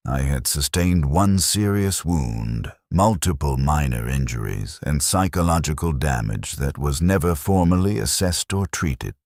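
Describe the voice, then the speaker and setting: A man narrating a somber first-person war story in a grave, measured way, with short clipped declarative sentences. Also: very deep voice, darkish tone, no room sound, studio quality. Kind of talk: scripted dramatic narration, somber military fiction.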